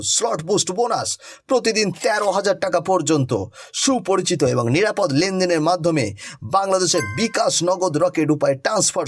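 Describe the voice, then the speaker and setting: Male promo announcer, high-pitched, scripted sales read, slight background noise. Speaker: advertising announcer.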